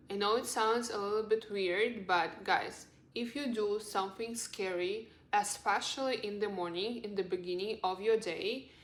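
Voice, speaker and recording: A woman's voice: medium pitch, a bit bright. A roomy, echoing recording of a young woman with a Russian accent. She is a native Russian speaker with a mid-range voice, talking casually to her viewers like a lifestyle vlogger, in an earnest and encouraging way. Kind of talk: casual advice vlog to camera.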